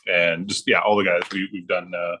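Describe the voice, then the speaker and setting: A middle-aged American man talking casually as a podcast guest, easygoing and halting, with plenty of fillers. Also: low-pitched, level tone, pushed and bright. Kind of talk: casual chat.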